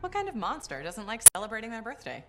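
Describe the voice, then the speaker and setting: A woman's voice: a little high, huge pitch swings, fast talker, over music. An actress playing a dry, self-assured female mercenary hero in a video game, speaking in a wry, understated way. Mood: wry, teasing.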